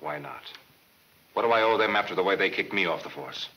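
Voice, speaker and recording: An actor playing a hard-nosed, cool-headed renegade police detective, terse and firm, in 1940s film serial style on an old soundtrack. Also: male voice, lowish voice, very animated, fairly quick, vocal fry, highs cut off, a little hiss or hum. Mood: coolly persuasive.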